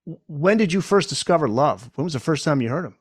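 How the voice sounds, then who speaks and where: A man's voice: on the high side, lively intonation, fast talker, breathy edge, no echo, studio quality. A middle-aged man interviewing in a casual, conversational way as a podcast host. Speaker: podcast host, former radio presenter.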